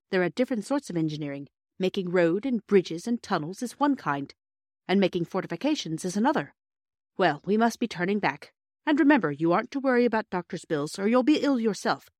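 Woman as a male country doctor, jovial, avuncular, brisk, reassuring, storybook dialogue, very dry recording. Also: medium pitch, expressive, dry recording, close to an everyday voice. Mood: cheerful, instructive.

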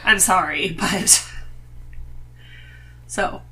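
A woman's voice: on the low side, drawn-out words, bright, forceful tone, slight background noise. A woman talking as a podcast guest in a casual, conversational way. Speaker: podcast guest, host's wife.

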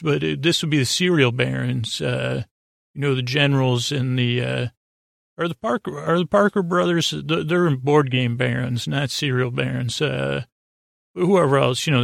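Rambling, gravelly-edged male podcast host. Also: medium pitch, a bit bright, no room sound, clean studio sound. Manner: meandering, halting, digressive, self-deprecating. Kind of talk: rambling, whimsical bedtime podcast monologue.

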